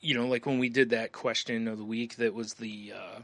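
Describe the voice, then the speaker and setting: A man's voice: medium pitch, steady tone, moderate pace, creaky, a bit bright, dry recording. A man co-hosting a podcast in casual, rambling conversation, often dropping in "you know.". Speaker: podcast co-host. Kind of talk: casual chat.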